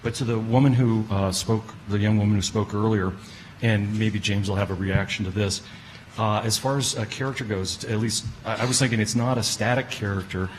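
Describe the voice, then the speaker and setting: A man's voice: lowish voice, steady tone, brisk, poor audio. A man in an audience making casual, off-the-cuff remarks at a live event. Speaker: audience member. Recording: live room with background noise.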